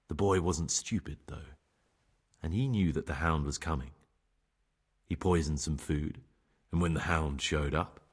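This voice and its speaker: Repentant outlaw monk, low husky voice, measured, persuasive, plain-spoken, game cutscene. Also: male voice, full character voice. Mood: measured storytelling. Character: remorseful young ex-bandit novice monk, sly pragmatic bargainer. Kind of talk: dramatic game cutscene acting.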